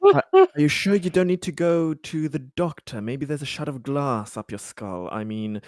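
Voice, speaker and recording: A man's voice: fairly high pitch, big pitch swings, moderate pace, darkish tone, no room sound, clean studio sound. A man playing a tabletop roleplaying game, bantering in character in a hesitant, politely wry way.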